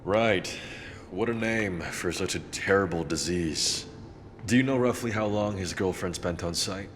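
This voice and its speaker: Man acting deep-voiced, brooding police detective. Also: unhurried, a little hiss or hum. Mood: businesslike. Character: wary, brooding male police detective, curt and methodical. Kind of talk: audio drama acting.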